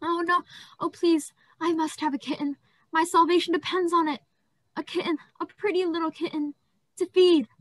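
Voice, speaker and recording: A deranged asylum inmate acted by a woman for radio drama. The voice sits high and dry, circling back over the same words with pleading, gleeful zeal. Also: steady tone, moderate pace, no echo. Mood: desperate pleading. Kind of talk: radio play acting.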